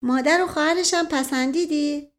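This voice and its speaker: A woman's voice: high-pitched, pitched above the performer's own voice, lightly acted. A woman voicing a teasing, indulgent prince, an urbane uncle with a coaxing manner, in a storybook reading.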